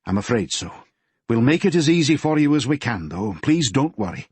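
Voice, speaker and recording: Man doing a courteous male detective, measured, gently firm, husky, mid-pitched. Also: very animated, dry recording. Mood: calm, sympathetic. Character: male police detective, calm, courteous, sympathetic. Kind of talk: audiobook character voice.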